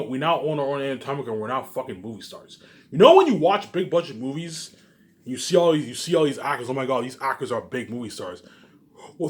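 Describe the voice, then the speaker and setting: A man in a casual, rambling monologue, boastful and repetitive, emphatic and swearing freely as he leans on the same catchphrases. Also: mid-range voice. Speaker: online video creator.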